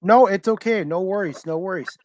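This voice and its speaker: A man's voice: sits high, fairly quick, a little mellow, a little hiss or hum. A man hosting a talk show, asking questions in a casual, unscripted and somewhat hesitant, reassuring way. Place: remote video call, dry sound.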